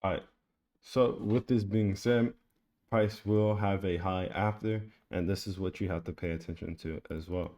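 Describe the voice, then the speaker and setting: A man with a low, mellow voice talks through a price chart in a relaxed, repetitive way. He keeps a flat, even tone as he points out levels and entries, like a casual screen-share lesson. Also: normal speed. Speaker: trading educator. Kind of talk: screen-share trading tutorial.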